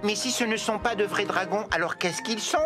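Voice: medium pitch, brisk, over music, lightly acted. An actor voicing a young talking dump truck in a French cartoon dub, eager and competitive but easily timid. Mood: curious.

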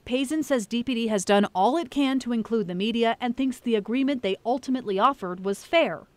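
A woman's voice: a little high, fairly quick, darkish tone, no room sound. A woman with an American accent reading a scripted TV news report as a reporter.

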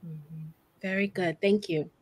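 A woman's voice: lowish voice, fairly even intonation, a little slow, husky. A woman in her forties speaking conversationally on a video call as an investor judge on a panel. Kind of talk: conversational question to presenter.

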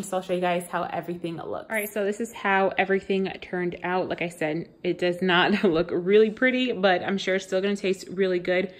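A woman in her thirties, chatting casually to her viewers as she walks them through baking and cleaning in her home kitchen. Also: medium pitch. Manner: chatty, talks straight to viewers. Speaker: lifestyle vlogger. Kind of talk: casual cooking and cleaning voice-over.

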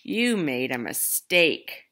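A woman's voice: sits low, sing-song, takes it slowly, pushed and bright, heavily acted. A woman voicing an exasperated female cow from a storybook, protesting in an indignant, emphatic way. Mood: weary, firm.

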